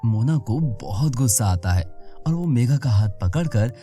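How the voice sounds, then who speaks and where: Female narrator for children's fables: mellow, warm tone, lively intonation, soft music underneath. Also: very deep voice, moderate pace. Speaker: storyteller. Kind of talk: scripted narration of children's moral story.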